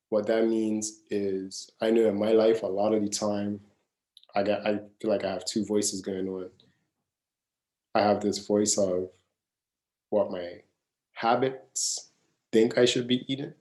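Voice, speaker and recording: A man in his mid-thirties leads a live wellness class in a casual, earnest way, often saying a phrase twice for weight. His voice sits fairly low with a dark, mellow tone. The room around him rings with echo. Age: 30s. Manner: earnest, casual, repeats phrases to stress them. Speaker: wellness coach. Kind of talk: live masterclass talk. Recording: echoey room.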